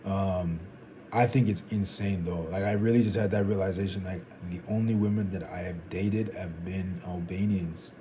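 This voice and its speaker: A man in his twenties thinking out loud in a casual, rambling vlog, restarting sentences and dropping "like" and "bro". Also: deep voice, fairly even intonation, moderate pace, slight background noise. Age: 20s. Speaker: vlogger. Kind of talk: casual reflective monologue.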